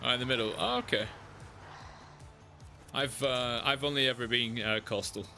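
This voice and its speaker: A man chatting casually with his live-stream chat while playing a driving game, relaxed and talkative. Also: medium pitch, normal speed, gravelly, soft background music.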